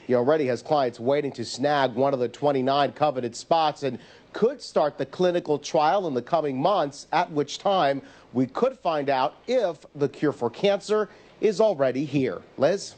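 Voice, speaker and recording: A man's voice: fairly high pitch, a little mellow. A man narrating a scripted TV news report in a polished reporter voice.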